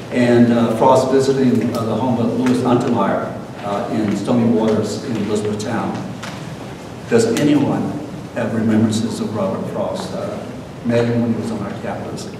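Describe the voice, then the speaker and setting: An older man, a professor, hosting an event informally with halting delivery. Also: mid-range voice. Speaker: host, retiring professor. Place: lecture room, at a podium. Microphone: distant camera microphone. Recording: echoey hall, distant, noisy.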